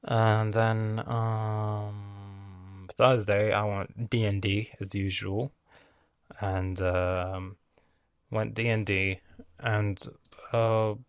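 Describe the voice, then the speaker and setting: A man raised in Lebanon hosts his own podcast, speaking low with a gravelly, fry-laden voice. He rambles freely, swears casually and often loses his thread. The recording sounds dry and close. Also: little pitch movement, extremely slow, pauses often, dry recording. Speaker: podcast host.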